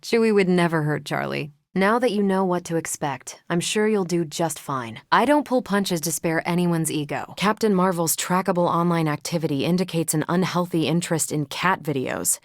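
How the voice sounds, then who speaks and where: Woman doing a tough superhero lady for a game, kinda low voice, wry n confident, super dry recording. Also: normal speed, few pauses, dry recording, natural voice. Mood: calm, matter-of-fact. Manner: casual, wry banter with firm self-assurance. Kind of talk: scripted video game voice acting.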